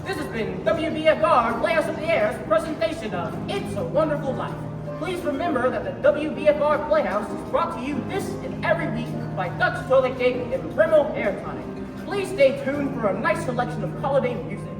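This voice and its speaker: Young woman playing high-voiced old-time radio host. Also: lively intonation, brisk. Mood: formal, festive. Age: young adult. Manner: formal, presentational. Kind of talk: 1940s radio announcer sign-off. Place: school theatre stage. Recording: echoey stage, distant, loud music.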